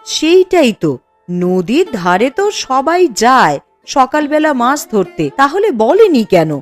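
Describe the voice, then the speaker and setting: A woman's voice: high-pitched, sing-song, a little slow, faint music behind. A woman voicing a worried young village wife in a cartoon, anxious and fretting. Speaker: cartoon character.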